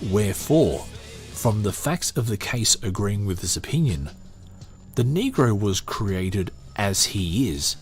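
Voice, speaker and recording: A man hosting a podcast, chatting with many hesitations, then reading a book aloud in a steady, measured way. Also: fairly low pitch. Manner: hesitant, filler-heavy chat between measured reading. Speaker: podcast host. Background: faint guitar music.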